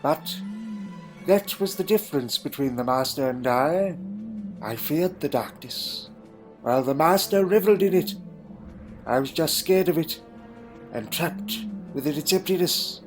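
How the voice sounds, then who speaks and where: Male actor as a troubled, proud Time Lord for audio drama; slightly high voice, old-fashioned wording, melancholy and guarded, over faint music. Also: animated, a little slow, pauses often. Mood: brooding, melancholy.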